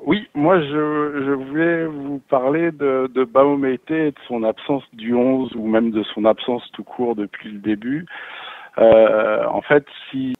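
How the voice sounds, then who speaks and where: A man's voice: medium pitch, fairly even intonation, dry recording. A man calling in to give his opinion, speaking hesitantly and restarting his sentences. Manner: hesitant, halting. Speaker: caller. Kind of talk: phone-in opinion. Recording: tinny dry phone line.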